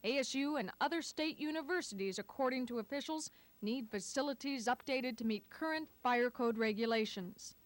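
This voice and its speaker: A woman's voice: sits high, moderate pace, no echo. A woman TV news reporter narrating a scripted report on an archival 1980s broadcast.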